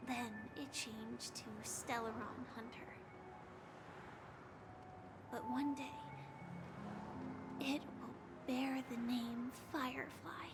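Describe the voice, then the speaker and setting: An actress playing a gentle, solemn young woman warrior, with soft, breathy, wistful delivery in scripted game dialogue. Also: on the high side, fairly even intonation, slow, pauses often, heavy background noise. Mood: wistful, calm. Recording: loud music behind the voice.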